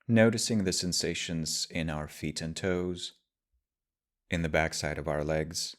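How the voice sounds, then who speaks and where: A man guiding a meditation as a teacher, speaking in measured, deliberate phrases with pauses. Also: a bass voice, fairly even intonation. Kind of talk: guided meditation, slow spoken instruction.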